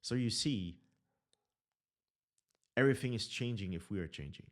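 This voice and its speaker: A man with a German accent, a native German speaker, earnestly teaching about consciousness over slides and often pausing to correct his words. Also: lowish voice, lively intonation. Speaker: consciousness mentor.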